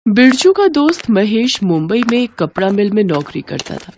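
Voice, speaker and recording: A woman's voice: medium pitch, big pitch swings, moderate pace, darkish tone. A woman narrating a scripted moral story, with slight noise in the recording.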